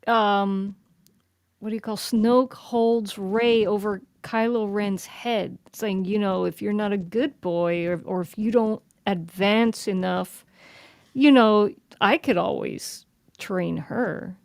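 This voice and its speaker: A woman of medium pitch speaks slowly in a casual discussion. She is tentative and halting, and her voice is recorded dry. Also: steady tone, creaky, no echo.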